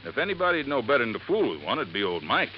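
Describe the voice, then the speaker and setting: An actor playing a folksy, earnest boat-landing owner in 1950s radio drama, telling things in a plain, halting, emphatic way. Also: male voice, mid-range voice, sing-song, lightly acted. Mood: intent, emphatic. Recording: dull, noisy vintage radio recording.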